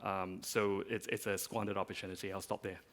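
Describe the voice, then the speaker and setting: A man's voice: sits low, little pitch movement, a little fast, a bit bright. A man from Malaysia speaking as a panelist, with many fillers and restarts. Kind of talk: panel discussion remarks.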